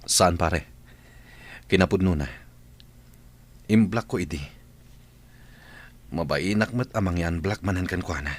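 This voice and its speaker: A man's voice: low-pitched, unhurried, long pauses. A man with an Ilocano accent playing a village man talking frankly with his friends in a radio drama. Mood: regretful.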